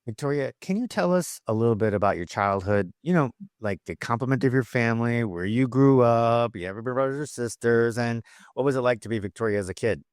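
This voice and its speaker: A man's voice: medium pitch, expressive, fairly quick, darkish tone, clear, resonant tone, no room sound, studio quality. A man from Chicago hosting an interview show, enthusiastic, asking long rambling questions. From Chicago, USA. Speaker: podcast host.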